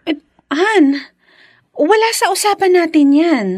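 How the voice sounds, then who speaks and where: A woman with a Filipino accent playing a gentle, yielding young wife and mother in a radio drama, with a plaintive manner. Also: very high-pitched, slow. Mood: worried.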